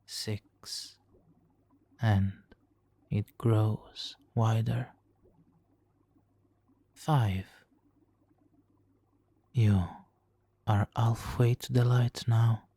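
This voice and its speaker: A man's voice: lowish voice, fairly even intonation, very slow, pauses often, dark tone, heavily acted. A man playing a suave, manipulative supervillain in an ASMR roleplay, silky and theatrically polite, smugly doting and sometimes slipping into whispers. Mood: soothing, hypnotic. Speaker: audio roleplay performer. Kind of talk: ASMR roleplay, hypnotic countdown.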